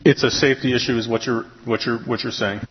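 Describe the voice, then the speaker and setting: A man chairing a school board meeting, reading procedure and calling on members in a brisk, formal way. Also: mid-range voice, steady tone, breathy edge, dull audio.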